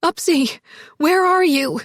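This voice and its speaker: A woman's voice: extremely high pitch, takes it slowly, fairly bright tone, no room sound, clean studio sound, pitched above the performer's own voice, exaggerated voice. A woman voicing a desperate, frightened woman in an audiobook, shaky and pleading, with breathless, apologetic delivery. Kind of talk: audiobook character dialogue.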